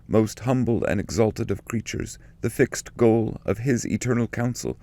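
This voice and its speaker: A man voicing a solemn, pleading saint, pitched up. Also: creaky, warm tone, no room sound. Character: reverent saintly holy man praying to the Virgin. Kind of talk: dramatic poetry reading, audiobook.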